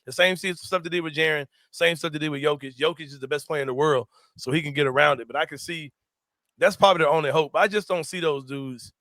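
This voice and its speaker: Young guy hosting sports talk, bright tense voice, dry close sound, laughs a lot, says bro. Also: a little high, fast talker, dry recording. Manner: animated, slangy, laughs a lot. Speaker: sports talk show host. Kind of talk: casual sports chat.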